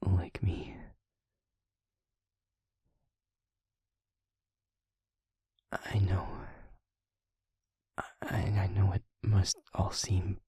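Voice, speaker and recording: A man acting a hushed, breathy young vampire boyfriend. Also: low-pitched, no echo, studio quality, heavily acted. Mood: soft, troubled. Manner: halting, hushed, breathy, often whispering. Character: young male vampire, anxious, tender, protective boyfriend. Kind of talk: intimate audio roleplay, acted.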